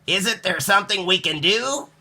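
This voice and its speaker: A man voicing an eager, excitable young boy with wide-eyed exclamations in a children's storybook. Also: high-pitched, normal speed, pitched above the performer's own voice, heavily acted. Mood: pleading, dismayed. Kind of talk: children's storybook read-aloud.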